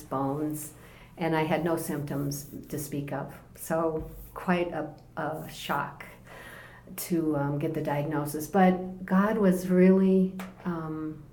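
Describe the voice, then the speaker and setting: A woman in her sixties, a guest on an interview show, sharing her personal story in a gentle, reflective way and laughing easily. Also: sits low, slight background noise. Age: 60s. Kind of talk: personal testimony in conversation. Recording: echoey room.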